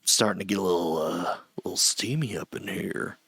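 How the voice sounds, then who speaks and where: A middle-aged man with a low voice gives a loose, chatty screen-share tutorial from a small home room, often restarting his sentences. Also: fairly quick, gravelly, fairly bright tone, breathy edge, dry recording. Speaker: streamer teaching audio setup.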